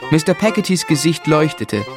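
A man playing an earnest, affectionate young man who recalls his life in measured literary phrasing for a radio drama. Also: fairly high pitch, very animated, over music. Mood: reflective. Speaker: narrator and lead actor.

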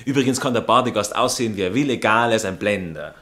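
A man's voice: lowish voice, lively intonation, quick pace, bright, forceful tone. An actor playing a snobbish, condescending Austrian baron and racing enthusiast in a theatrical radio comedy, nonchalant and drawling in his interjections. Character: snobbish aristocratic baron, horse-racing fiancé, condescending and jealous.

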